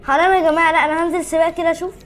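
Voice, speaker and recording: A woman with an Egyptian accent chats through a game in a whiny, protesting manner. The voice is extremely high and quick. Music runs underneath. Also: little pitch movement. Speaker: gaming video host. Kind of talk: casual chat while playing a game.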